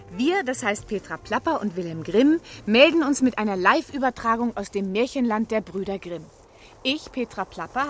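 A woman playing a breezy, bossy balloon adventurer and reporter, brisk and chirpy, in a children's radio play. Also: fairly high pitch, slight background noise, close to an everyday voice.

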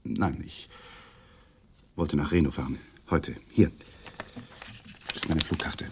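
An actor in a German crime radio drama playing a defensive husband under questioning, answering haltingly and evasively, with a growing insistence. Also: male voice, extremely low pitch, a little hiss or hum, heavily acted. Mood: curt, defensive. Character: defensive husband under police questioning, ever more agitated.

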